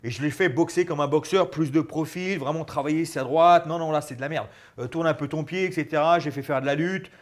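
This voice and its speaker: Mec la quarantaine qui parle en s'animant, voix un peu aiguë, micro-cravate dans un petit salon. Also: male voice, on the high side, fairly quick, hardly any pauses. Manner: animated, gestures a lot while talking. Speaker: guest, former fighter turned actor and stuntman. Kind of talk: casual interview chat. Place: small studio lounge with sofa and bookshelves. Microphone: lapel mic.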